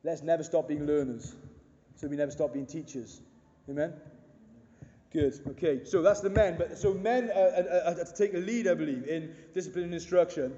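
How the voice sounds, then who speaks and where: Booming, mellow-voiced preacher man in echoing hall. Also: normal speed. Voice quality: big booming voice. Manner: earnest, emphatic preaching with rhetorical questions. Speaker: pastor. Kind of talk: sermon. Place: church hall. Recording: dull echoey hall recording.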